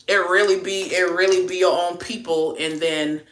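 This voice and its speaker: Young Haitian American host from Miami, low voice, chatty and slangy; echoey room. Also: female voice, steady tone, normal speed, fairly bright tone. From Miami, Florida. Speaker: livestream host. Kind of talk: casual livestream chat reading viewer comments.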